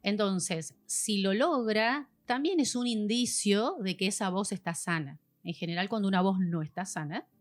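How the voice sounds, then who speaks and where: A woman's voice: mid-range voice, moderate pace, hardly any pauses. A woman, a voice specialist guest explaining patiently in a podcast chat, like a teacher. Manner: patient, teacherly, acts out examples.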